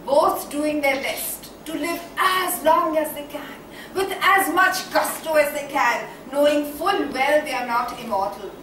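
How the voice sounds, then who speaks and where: High-pitched, dramatic poetess played by a woman for a stage play, declamatory and whimsical, faint background noise. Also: a bit bright. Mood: musing, grandiose. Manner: declamatory, dramatic, with emphatic repeats and drawn-out phrases. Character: theatrical, whimsical female poet musing aloud on art. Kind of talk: theatrical stage monologue, poetic recitation. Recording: distant, very echoey hall, faint noise.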